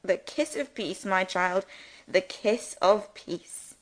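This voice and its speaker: A woman's voice: medium pitch, animated, slow pace, rough recording. A woman reading the part of a pompous, sermonizing male country rector who loves grand words. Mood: sanctimonious.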